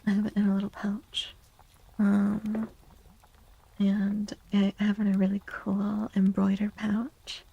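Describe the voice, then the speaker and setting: A woman speaking softly and breathily in a gentle, unhurried near-whisper, chatting as an ASMR livestream host and often softly repeating phrases. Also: mid-range voice, monotone, dull audio, a little hiss or hum. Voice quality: creaky. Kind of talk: soft-spoken ASMR livestream chat.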